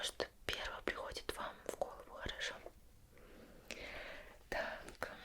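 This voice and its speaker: Woman doing a lady investigator asmr roleplay, all whispered, soft n breathy, calm careful questioning, politely pushy. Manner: measured, deliberate, politely insistent.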